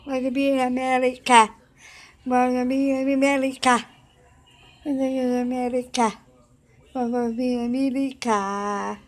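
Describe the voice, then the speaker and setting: A young woman singing songs loosely and loudly into a close webcam in an office room, shouting and chanting at times. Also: high voice, little pitch movement, normal speed, long pauses. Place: indoor office room with desks and computers. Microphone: webcam at close range, with headphones on.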